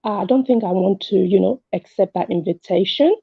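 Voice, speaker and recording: A woman's voice: mid-range voice, normal speed, creaky, husky, no room sound. A woman calling in to a talk show, telling a personal story in a casual, conversational way and re-enacting dialogue. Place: remote call into an online show. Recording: muffled, dry call audio.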